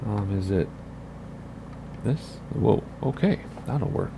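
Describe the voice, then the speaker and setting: A man with a raspy voice, casually talking through a flight simulator session and thinking aloud as he plays. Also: fairly low pitch, animated, takes it slowly, background noise. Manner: chatty, thinking aloud while playing. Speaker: flight sim streamer. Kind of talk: casual gameplay commentary.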